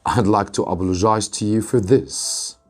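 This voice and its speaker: A man playing a loyal English gentleman hero in a storybook reading, respectful and sincere. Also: on the low side, steady tone, normal speed, soft background music, close to an everyday voice. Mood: sincere, humble.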